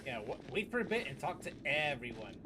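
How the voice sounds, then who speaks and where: A man's voice: fairly high pitch, very animated, a little fast, heavy background noise. A man streaming a video game, giving casual commentary in a blunt, wisecracking, sweary way. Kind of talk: casual playthrough commentary, reading and reacting. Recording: game music under the voice.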